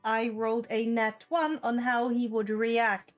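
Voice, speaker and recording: A woman's voice: a little high, little pitch movement, a little slow, soft background music. A woman game master casually explaining what happens in a tabletop role-play session.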